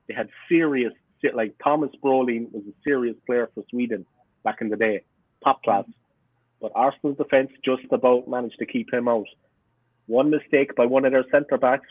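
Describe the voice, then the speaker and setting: A man's voice: medium pitch, dry recording. A man in his forties from Cork with a strong Cork Irish accent, a podcast guest telling stories in an earnest way and repeating himself for emphasis. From Cork, Ireland. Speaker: podcast guest, fan channel owner. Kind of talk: casual interview storytelling. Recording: tinny phone-like remote line.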